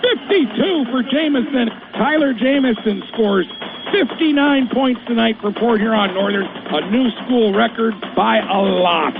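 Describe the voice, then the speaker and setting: A man calling live basketball play-by-play in an excited, rapid delivery. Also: extremely high pitch. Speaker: play-by-play announcer. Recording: tinny radio call with clear hiss.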